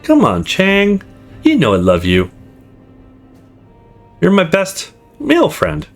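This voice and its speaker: A man's voice: sits high, wildly swooping pitch, slow, creaky, soft background music, pitched above the performer's own voice, exaggerated voice. A man voicing a sensible, sheepish young man in a visual novel, hesitant and reassuring.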